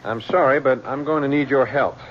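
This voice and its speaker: An actor playing a patient, dogged homicide lieutenant who speaks in a halting, apologetic way with many pauses. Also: male voice, mid-range voice, close to an everyday voice. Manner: halting, apologetic, full of uh's. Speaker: police lieutenant. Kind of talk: 1970s radio drama acting. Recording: dull old radio recording with faint hiss.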